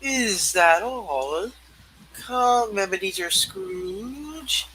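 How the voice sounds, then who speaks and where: Performer acting solemn spirit, stage reading, formal, bright forceful voice, slightly noisy recording. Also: medium pitch, animated, full character voice. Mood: grave, probing. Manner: formal, measured, questioning. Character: solemn, commanding Ghost of Christmas Past spirit. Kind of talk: theatrical live play reading.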